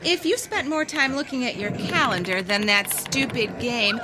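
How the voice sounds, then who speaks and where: A woman's voice: a little high, normal speed, natural voice. An actress playing a nagging, scornful female newsroom colleague in a radio drama, exasperated and scolding. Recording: loud background noise, clicks.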